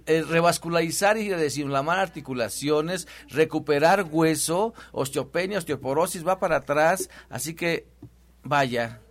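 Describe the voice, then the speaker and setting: A talkative man, his voice sitting a little high. He promotes a clinic in a loose, repetitive way, full of fillers and restarts. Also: measured pace. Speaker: host of a health show. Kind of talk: promotional radio talk.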